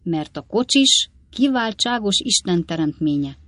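A woman's voice: mid-range voice, lively intonation, a bit bright, no room sound, pitched above the performer's own voice. A woman voicing an old coachman father in a storybook reading, grumbling and reasoning pompously with emphatic indignation. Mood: argumentative. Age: older.

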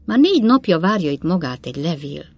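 A woman's voice: fairly low pitch, very animated, darkish tone, slightly rough, dull audio, heavily acted. Woman acting coy, teasing young storybook maid. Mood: teasing scolding. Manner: coquettish, exclaiming, mock-indignant. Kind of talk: audiobook character dialogue.

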